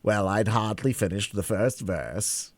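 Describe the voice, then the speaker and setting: A man's voice: lowish voice, a little slow, no room sound. A man reading a storybook aloud and voicing a mournful, rambling eccentric hatter close to his own voice.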